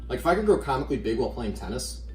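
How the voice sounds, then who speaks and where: A man's voice: medium pitch, big pitch swings, very fast, a little mellow, recorded from a distance. A man speaking scripted comedic dialogue in a sketch. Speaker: sketch participant. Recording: echoey room, off mic, faint music.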